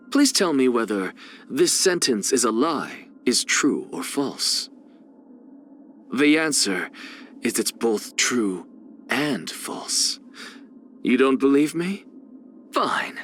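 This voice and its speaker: A man's voice: mid-range voice, very animated, takes it slowly, pauses often, fairly bright tone, a little hiss or hum. A man playing an arrogant, eccentric scholar and teacher in a video game, with a haughty, theatrical, lecturing manner. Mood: haughty, challenging.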